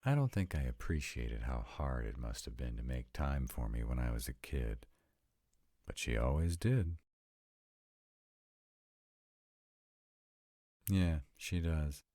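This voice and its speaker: A very deep, dark-toned male vampire, acted by a man for a roleplay audio drama. He is sarcastic and grudgingly devoted, with lively swings in his low voice and a dry, reluctant delivery. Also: normal speed, dry recording, heavily acted. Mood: casual, curious. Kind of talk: scripted roleplay audio drama, one side of a conversation.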